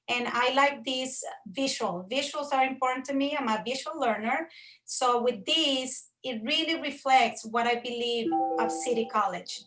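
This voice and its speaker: A woman with a Costa Rican accent speaks in a fairly high voice. She is a professor presenting to colleagues, earnest and emphatic, and she repeats words to stress them. Her first language is Spanish. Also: a bit bright, poor audio. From Costa Rica. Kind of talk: presentation to a faculty audience.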